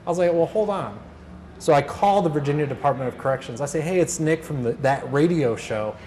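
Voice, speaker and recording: A man's voice: a little high, expressive, a little fast, darkish tone. Informal man presenting, some background noise. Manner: conversational, acts out reported dialogue. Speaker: radio organizer presenting a workshop. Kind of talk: informal workshop talk with anecdotes. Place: workshop room, live talk.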